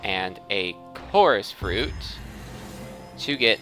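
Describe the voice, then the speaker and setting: Bright, clear-voiced man doing lively gaming commentary. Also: on the low side, extremely slow, faint music behind. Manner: self-correcting, thinking aloud. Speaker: gaming video host. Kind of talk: casual gameplay commentary of modded Minecraft.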